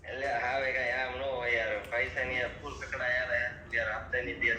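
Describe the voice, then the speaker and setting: A man's voice: medium pitch, level tone, brisk. A man with a Saurashtra Gujarati accent grumbling in casual, plain-spoken phone chat. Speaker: prank call victim on the phone. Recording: distant echoey phone call, crowd noise behind.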